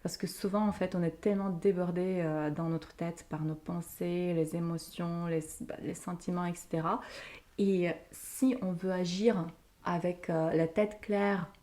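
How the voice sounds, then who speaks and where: Warm-voiced woman, expressive. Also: on the low side, a little slow. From Ukraine. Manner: emotional, animated storytelling with frequent laughs. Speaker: podcast guest. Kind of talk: casual interview talk.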